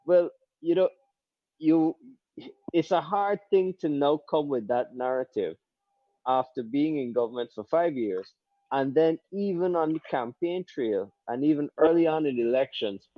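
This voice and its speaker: A man's voice: a little high, animated, a little slow, no room sound. A man giving conversational analysis as a guest on a political panel. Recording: dull, dry, lo-fi audio.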